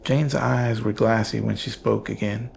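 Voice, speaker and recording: Very gravelly, slow male horror narrator. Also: fairly low pitch, fairly even intonation, darkish tone, lo-fi sound, slight background noise. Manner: grave, measured, ominous.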